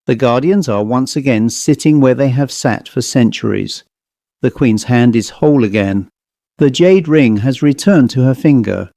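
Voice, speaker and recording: Male narrator for supernatural tales: warm, relaxed tone, deliberate scripted storytelling, very dry sound. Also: medium pitch, no room sound. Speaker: narrator and host of horror story readings. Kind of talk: scripted narration of classic ghost stories.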